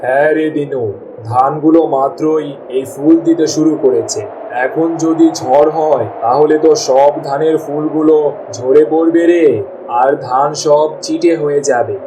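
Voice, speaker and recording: A man voicing a weary, gentle poor farmer and father in a cartoon, resigned and plaintive. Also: fairly high pitch, fairly even intonation, a little slow, recorded from a distance, natural voice. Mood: worried. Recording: storm and rain noise behind voice.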